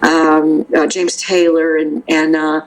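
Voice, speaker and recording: A woman's voice: deep voice, flat delivery, slow pace, poor audio. An older woman from the Memphis area, a vocal coach talking casually in an interview, rambling with restarts and fillers.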